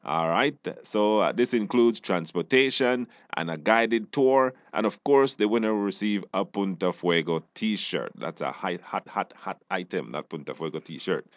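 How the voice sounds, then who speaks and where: A man's voice: sits low, moderate pace, few pauses, no room sound. A man with a Belizean accent hosting a radio show in a casual, conversational style. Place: radio studio. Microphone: studio microphone.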